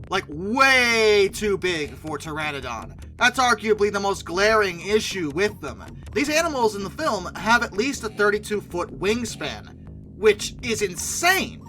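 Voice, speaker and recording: A woman's voice: on the high side, bright, forceful tone, rough recording. A woman host giving casual, opinionated list commentary in an emphatic way, with theatrically exasperated asides. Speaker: host of a paleontology video channel.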